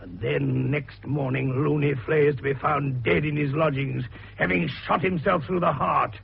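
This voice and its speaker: An actor playing an older, eccentric male doctor sleuth in a radio detective drama, with a gravelly voice and an avuncular, theatrical way of explaining. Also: a little high, steady tone, moderate pace, slight background noise. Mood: relishing explanation. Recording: dull, muffled radio recording.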